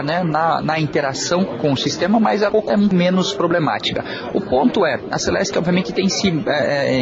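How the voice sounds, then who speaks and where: A man's voice: fairly high pitch, dull audio. A man speaking formally as a company president at an event, tagging sentences with "né". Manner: formal, official, frequent "né". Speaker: public company president guest. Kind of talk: interview answer at event.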